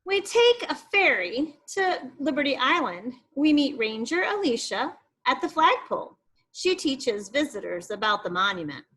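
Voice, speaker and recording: A woman teacher reading an informational book aloud to young children, expressive and explanatory, with asides to her pupils. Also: high-pitched, unhurried, slightly rough.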